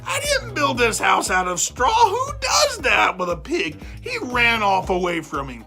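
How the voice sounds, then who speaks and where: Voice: mid-range voice, wildly swooping pitch, measured pace, pushed and bright, faint music behind, heavily acted. A storyteller voicing an aggrieved, self-justifying big bad wolf who tells his side of a children's fairy tale with exasperated, emphatic repetition. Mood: aggrieved, explaining. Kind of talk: animated first-person storytelling for children.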